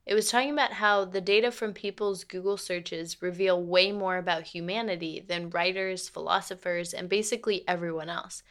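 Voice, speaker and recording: A woman's voice: fairly low pitch, fairly even intonation, normal speed, few pauses, fairly bright tone. An actress playing a frank, principled lawyer and girlfriend in a radio play, speaking in a natural, articulate, matter-of-fact way. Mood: amused, chatty.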